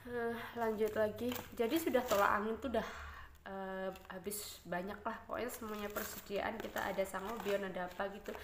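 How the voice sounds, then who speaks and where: A woman's voice: medium pitch, fairly even intonation, brisk. A woman talks casually to her viewers from home, her voice stuffy and congested from a cold. She rambles along with lots of filler sounds. The room is echoey, with faint ambient noise underneath. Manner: rambling, chatty, many fillers. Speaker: vlogger.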